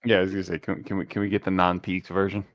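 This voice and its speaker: Brief: low, gravelly male player, hesitant, dry close sound. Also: little pitch movement, very fast, no room sound, dull audio. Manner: hesitant, musing, mumbling.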